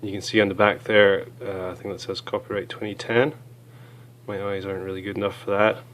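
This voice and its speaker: A hoarse man with a cold, chatting casually as he reviews small gear items, with many fillers. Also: on the low side, little pitch movement, a little fast, fairly bright tone, slight background noise.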